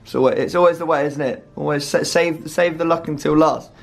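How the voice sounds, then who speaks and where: A man hosting a gaming video, hoarse from shouting, excitable and groaning with laughing disbelief. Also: on the high side, normal speed. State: hoarse, voice strained. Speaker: YouTube gaming host. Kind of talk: casual gaming commentary.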